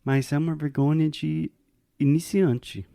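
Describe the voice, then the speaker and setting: A man's voice: on the high side, fairly even intonation, a little slow, gravelly, dark tone, no echo, clean studio sound. A man from the United States, a native English speaker with an American accent, co-hosting a podcast and speaking in an earnest, reflective way. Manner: earnest and reflective, thinks aloud. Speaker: podcast co-host. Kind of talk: casual conversation.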